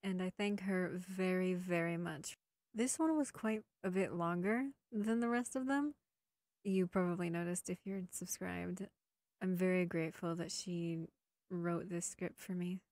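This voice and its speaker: A woman who hosts an audio channel, giving a casual outro in a quiet, low-key way. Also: measured pace, no room sound, studio quality. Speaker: voice actor, channel host.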